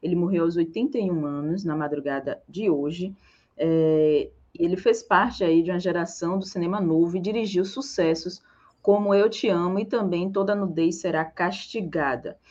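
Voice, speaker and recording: A woman's voice: on the low side, moderate pace, darkish tone, dull audio. A woman with a Bahian Brazilian accent presenting live news in an informal, chatty way as a co-host.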